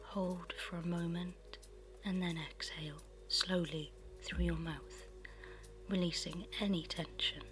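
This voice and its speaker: A woman leads a guided meditation in a soft, breathy half-whisper. Her voice is on the low side and very unhurried, with a soothing delivery. Music plays clearly behind her. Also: steady tone. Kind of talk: guided meditation, scripted narration.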